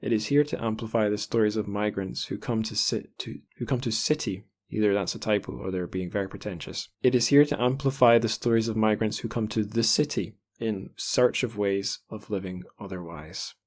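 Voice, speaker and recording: A Scottish man with a fairly low voice hosts a podcast in a relaxed, chatty way. He drifts into rambling asides as he talks. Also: brisk, few pauses. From Scotland. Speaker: podcast host. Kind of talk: casual podcast chat and intro.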